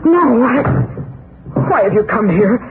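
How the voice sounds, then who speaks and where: A woman's voice: a little high, sing-song, a little slow, heavy background noise. An actress playing a frightened, pleading young woman in a 1940s radio melodrama, on a tinny old recording. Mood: frightened, upset.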